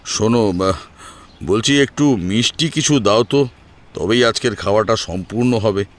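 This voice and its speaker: A man acting an elderly father-in-law, head of the household, in a dramatised audio story, speaking haltingly. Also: fairly low pitch, lightly acted. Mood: contented, familiar. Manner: halting, repeats words when moved.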